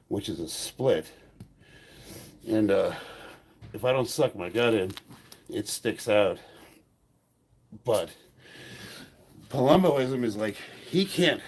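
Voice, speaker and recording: Stuffed-up american guy in his 50s, husky, rambly, keeps trailing off while he coaches lifting. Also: mid-range voice, darkish tone. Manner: loose and rambling, trailing off mid-sentence. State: congested, short of breath. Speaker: powerlifting coach. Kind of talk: casual training vlog.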